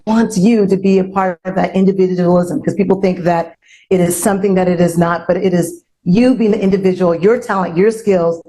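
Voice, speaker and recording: A woman's voice: on the low side, fairly even intonation, fairly quick, warm tone, slight background noise, rough recording. Outspoken lady host on a forum panel, chatty and emphatic, tells her own story, repeats phrases for punch. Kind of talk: unscripted panel talk, personal testimony.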